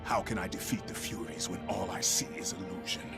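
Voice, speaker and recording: A male actor playing a hardened, vengeful warrior in a video game, with a gravelly voice and a terse, forceful, defiant delivery. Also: low voice, fairly even intonation, fairly quick, close to an everyday voice. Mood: wary, brooding. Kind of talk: dramatic video game acting. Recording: loud music behind the voice. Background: loud music.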